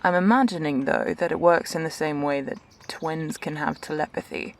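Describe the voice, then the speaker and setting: A woman plays a world-weary female doctor in a science fiction audio drama. Her voice is low for a woman, and she talks in a dry, composed way with wry understatement and careful, measured phrasing. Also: vocal fry.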